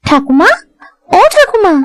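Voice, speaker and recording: A woman acting village wife and daughter parts in a cartoon. The voice is high and swoops expressively, homely and eager, with music behind it. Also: big pitch swings, measured pace, dry recording, exaggerated voice. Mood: excited.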